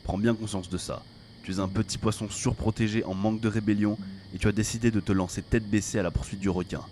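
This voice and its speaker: A man's voice: lowish voice, steady tone, quick pace, darkish tone, a little hiss or hum, natural voice. A man playing a cynical, curt adventurer in a French audio drama, with a dry, scolding, sardonic manner. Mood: scornful, scolding. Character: cynical, curt, grudging male adventurer, sharp-tongued loyal friend. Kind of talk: French audio fiction acting.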